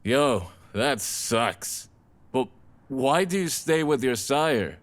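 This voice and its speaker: A man's voice: medium pitch, animated, slow. A man playing a laid-back, blunt rebel vampire in an audio drama, talking in casual slang. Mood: probing.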